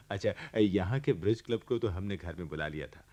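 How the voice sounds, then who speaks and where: A man's voice: on the low side, dark tone. A man playing an eager grown son in a radio play, coaxing his old mother with affectionate exasperation. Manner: exasperated but affectionate, persuading.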